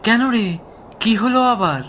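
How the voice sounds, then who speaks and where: A man voicing a gentle, distressed teenage village boy with a pleading, bewildered manner in an animated horror story. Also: sits high, slow, slight background noise, pitched above the performer's own voice, exaggerated voice. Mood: shocked. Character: gentle, distressed motherless eighteen-year-old village boy.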